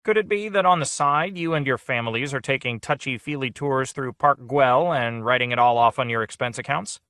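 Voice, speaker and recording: A man's voice: medium pitch, a little fast, bright, forceful tone, no room sound, studio quality, voice pushed up. A man voicing a smug, boastful male rabbit avatar with a glib, showy manner. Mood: teasing.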